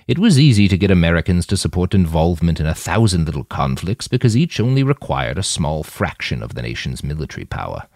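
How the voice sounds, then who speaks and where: A man voicing a weary, impassioned old man in an audiobook, speaking in a deliberate, oratorical, emphatic way. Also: a bass voice, creaky, darkish tone, dry recording, studio quality, exaggerated voice.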